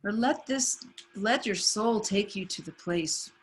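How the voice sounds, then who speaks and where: A woman leading a guided meditation on a video call, speaking softly and soothingly. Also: medium pitch, slightly rough. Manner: soft, unhurried, soothing.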